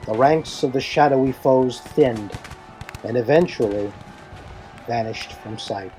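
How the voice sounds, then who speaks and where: A man's voice: medium pitch, mellow, poor audio. A man reading scripted fantasy narration in a formal, dramatic storytelling manner. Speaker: narrator and reader.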